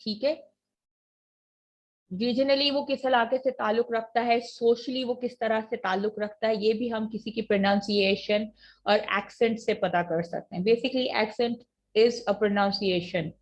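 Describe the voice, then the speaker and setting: A woman teaching an online class, explaining points in a mix of Hindi and English and reading definitions slowly off a slide. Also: on the high side, fairly even intonation. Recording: dull lo-fi online class audio.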